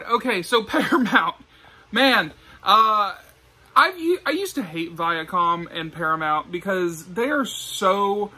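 A man's voice: sits high, bright, forceful tone, husky. A young man hosting a casual vlog, talking to the camera like an enthusiastic fan. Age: young adult. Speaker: video host. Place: small indoor room with shelves of discs.

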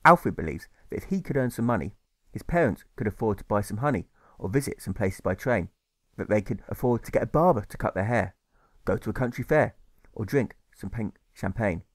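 A man narrating a rhyming novel as an audiobook, in measured storytelling that leans on the rhymes. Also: on the low side, expressive, no echo. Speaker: author narrating his audiobook.

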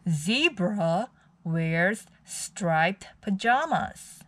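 A woman's voice: mid-range voice, animated, drawn-out words, a bit bright. A woman reading a picture book aloud for young children as a storytime host.

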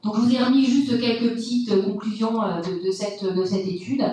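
A woman's voice: fairly high pitch, steady tone, moderate pace, mellow, recorded from a distance, a little hiss or hum. A woman from Brittany, a food science lecturer, gives a public science talk, explaining step by step with frequent hesitations. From Brittany, France. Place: large lecture hall. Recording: echoey lecture hall, from afar.

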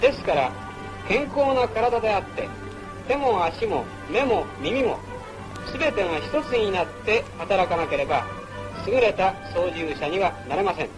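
A man's voice: high voice, expressive, normal speed, rough recording. A man narrating a wartime military training film in a formal, instructional manner, on an old film soundtrack. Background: music.

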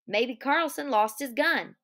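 A woman's voice: high voice, slow pace, fairly bright tone, pitched above the performer's own voice. A woman voicing a tired, protective ranch man who speaks hesitantly and pleads for his friend. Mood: pleading.

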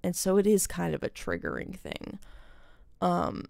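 A woman's voice: on the low side, very animated, measured pace, vocal fry, a little mellow, no echo, studio quality. A young adult woman giving casual, opinionated commentary as a gaming streamer, speaking earnestly and emphatically with some swearing. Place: indoor streaming setup.

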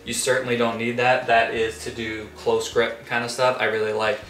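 A man's voice: mid-range voice, monotone, normal speed, fairly bright tone, soft background music. Chatty man in an echoey basement. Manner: chatty, explains gear plainly. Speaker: fitness vlogger. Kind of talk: casual home gym tour. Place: small basement home gym.

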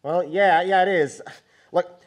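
An actor playing an impatient, suspicious, sarcastic farm owner in a live radio drama, blustering and demanding. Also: male voice, sits high, fairly even intonation, measured pace. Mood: demanding, wary. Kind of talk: live old-time radio drama acting. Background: music.

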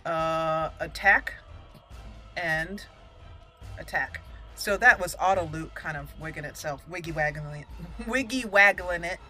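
A woman's voice: fairly low pitch, measured pace, bright, forceful tone, soft background music. A woman hosting a playful, chatty game commentary, talking to the characters as she plays. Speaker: gaming channel host. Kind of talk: casual roleplay game commentary.

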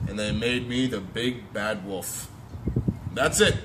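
A man's voice: fairly low pitch, slow pace, voice pushed down. A man as an aggrieved storybook wolf, noisy recording. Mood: aggrieved, folksy. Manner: folksy, emphatic, mock-innocent. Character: chatty, aggrieved male storybook wolf pleading innocence. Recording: noisy amateur phone video recording.

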